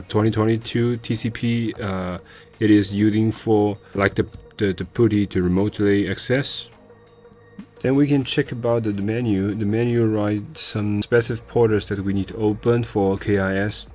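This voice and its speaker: A man with a fairly low voice walks through a technical procedure step by step. He speaks off the cuff and haltingly, often pausing to find the next word. Faint music plays behind him. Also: a little mellow. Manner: hesitant, halting delivery with searching pauses. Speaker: software tutorial presenter. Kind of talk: step-by-step screen tutorial, unscripted.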